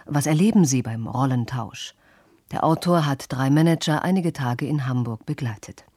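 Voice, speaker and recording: Low, husky, mellow woman radio announcer. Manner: measured, formal. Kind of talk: scripted announcement.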